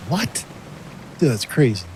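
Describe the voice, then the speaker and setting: A man's voice: mid-range voice, big pitch swings, a little slow. A man playing a laid-back, joking young buddy with a casual, slangy manner in an audio drama. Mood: astonished. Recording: noisy sea and ship ambience.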